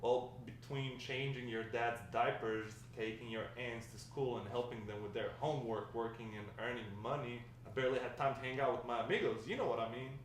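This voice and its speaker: A man's voice: medium pitch, natural voice. Echoey, distant man reading as a chatty nostalgic grandfather. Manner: conversational, rambling storytelling with hesitant pauses. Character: nostalgic, talkative Mexican-American grandfather, warm and encouraging. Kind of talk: amateur table read of a script. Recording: echoey room, distant mic, faint noise.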